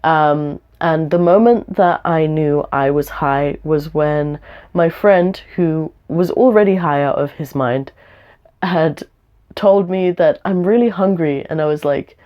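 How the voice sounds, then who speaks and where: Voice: medium pitch, slow pace, dull audio. A young adult vlogger telling a story in a casual, rambling way, with lots of fillers and small laughs, and a voice that is a bit off from having the flu. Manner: rambling, chatty, frequent fillers and little laughs.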